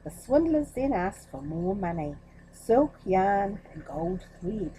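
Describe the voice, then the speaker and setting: A woman tells a children's story in a mellow voice with very varied intonation. She is expressive and speaks straight to her young listeners. Faint room noise sits behind her. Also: mid-range voice, takes it slowly, rough recording. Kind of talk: children's storybook reading with chatty asides.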